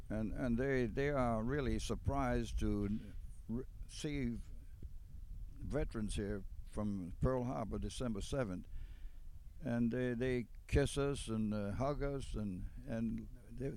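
Gravelly, halting man in his eighties. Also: medium pitch, dark tone, low-quality recording. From Hawaii, United States. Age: 80s. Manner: halting, plainspoken. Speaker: war veteran, memorial volunteer. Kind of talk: unscripted interview answers.

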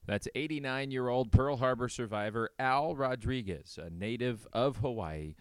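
A man's voice: medium pitch, normal speed, clear, resonant tone, no echo. A man hosting a radio show, interviewing guests and presenting links between segments.

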